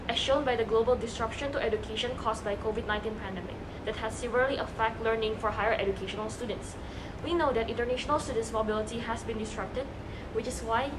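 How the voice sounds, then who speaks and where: A woman in her late teens delivers formal, prepared points very quickly. Her voice is fairly high, with clear room noise behind it. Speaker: student panelist. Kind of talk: prepared, formal opinion statement. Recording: noisy room ambience.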